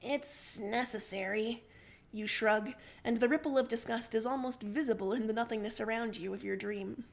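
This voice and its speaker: A woman's voice: a little high, moderate pace. A woman narrating second-person fiction expressively as a livestream story reader.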